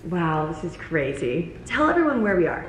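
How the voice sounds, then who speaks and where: Chatty young woman vlogger, lowish voice. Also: big pitch swings, moderate pace, soft background music. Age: young adult. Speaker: lifestyle vlogger. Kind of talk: casual chatty vlog talk. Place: indoors at home and in a house.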